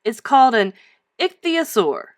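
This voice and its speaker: A knowing, wealthy storybook gentleman, as a woman reader puts him on. He is enthusiastic and emphatic, declaring things with animated swings. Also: fairly high pitch, a little slow, no echo, studio quality, pitched above the performer's own voice. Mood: explaining.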